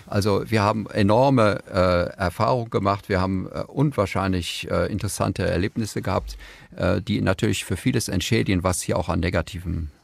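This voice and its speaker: A man's voice: low voice, steady tone, a little fast, vocal fry, no echo. A man working as a foreign correspondent answers hesitantly, with many fillers. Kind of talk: recorded interview answer.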